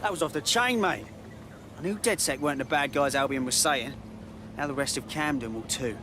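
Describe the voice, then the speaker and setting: A man's voice: fairly high pitch, brisk, close to an everyday voice. A man voicing a friendly, upbeat Londoner with a British accent in a video game. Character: friendly, upbeat male Londoner backing the rebels. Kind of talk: video game cutscene acting. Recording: outdoor car and street noise.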